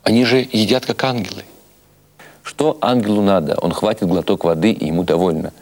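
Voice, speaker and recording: Man as a low-voiced, mournfully deadpan trickster. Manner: mournful, deadpan, unhurried solemn fibbing.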